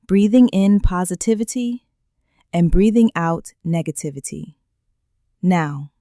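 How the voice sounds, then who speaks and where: A woman leading a guided meditation in a calm, gentle way, with slow soothing instructions and long pauses. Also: mid-range voice, dry recording, studio quality.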